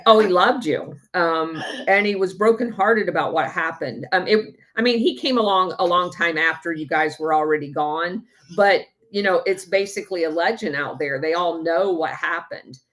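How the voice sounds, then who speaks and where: A woman hosting a casual chat, eager and encouraging, filling pauses with many ums. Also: lowish voice, a bit bright. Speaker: podcast host.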